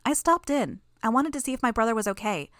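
A woman's voice: fairly high pitch, lively intonation, quick pace, fairly bright tone, dry recording, studio quality, voice pushed up. A woman voicing a cocky, gleeful teenage girl villain who talks in a brash, crude way. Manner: brash, crude, pleased with herself.

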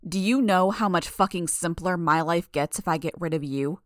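An aggressive, distrustful young woman, as a female audiobook narrator plays her. She speaks in short combative jabs with plenty of swearing. The voice sits a little low, in a very dry recording. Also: normal speed, no room sound, close to an everyday voice. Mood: threatening. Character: hostile, foul-mouthed young woman, aggressive and distrustful loner. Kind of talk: dramatised audiobook dialogue.

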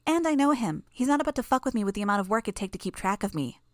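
A woman's voice: a little high, very animated, rapid-fire, no room sound, studio quality, pitched above the performer's own voice. A woman voicing a cocky, gleeful teenage girl villain who talks in a brash, crude way. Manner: brash, crude, pleased with herself.

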